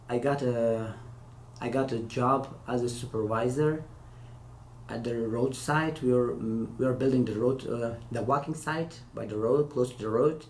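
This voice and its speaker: Halting man in echoey room. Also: mid-range voice, vocal fry. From Afghanistan. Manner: hesitant, halting non-native English with many restarts. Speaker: interview guest, former interpreter. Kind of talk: casual interview answers. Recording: echoey room with faint hiss.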